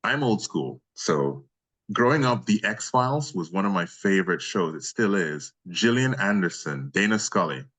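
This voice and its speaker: A man's voice: sits low, sing-song, moderate pace, fairly bright tone, slightly rough. A man, a freelance voice actor, answering questions in a casual interview, talking effusively and appreciatively in long, rambling answers.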